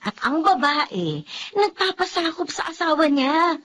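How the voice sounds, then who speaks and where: A woman's voice: extremely high pitch, animated, measured pace, a bit bright, slight background noise, exaggerated voice. A woman with a Filipino accent playing a blunt, admonishing confidante in a radio drama. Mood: scolding. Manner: emphatic, admonishing. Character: blunt, scolding female confidante lecturing a young wife.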